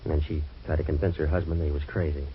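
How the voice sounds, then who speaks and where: A tough wisecracking radio detective, real low voice, dull old broadcast hiss. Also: male voice, little pitch movement, very fast. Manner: dry, clipped wisecracks. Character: wisecracking tough waterfront private eye, man. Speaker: hard-boiled detective narrator. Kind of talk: 1940s radio drama acting.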